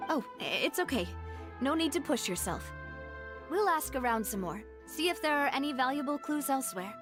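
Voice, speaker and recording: A determined young woman from a video game, with a high voice and a polite, resolute way of talking. She comes across as warm-hearted, over clearly audible music. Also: big pitch swings, normal speed. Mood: considerate.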